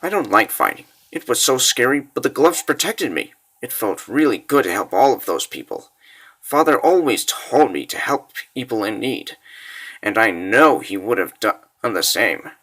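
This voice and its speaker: A man's voice: mid-range voice, big pitch swings, moderate pace, a bit bright. A man voicing a brave, naive, kindhearted little girl in a comic dub, with an earnest and childlike manner. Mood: earnest, hopeful. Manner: earnest, childlike, simply spoken. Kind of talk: comic-book dub diary monologue.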